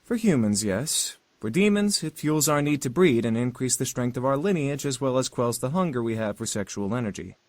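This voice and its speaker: A man's voice: medium pitch, animated, brisk, hardly any pauses, dry recording, natural voice. A man voicing a polite, scholarly male demon in a visual novel, speaking in a formal, courteous, explanatory way. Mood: earnest, lecturing. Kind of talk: visual novel character acting.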